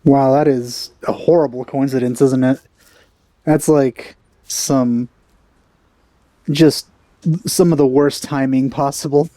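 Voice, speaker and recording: A man's voice: mid-range voice, fairly even intonation, takes it slowly, pauses often, warm tone, dry recording. A man giving casual, understated reaction commentary as a let's player.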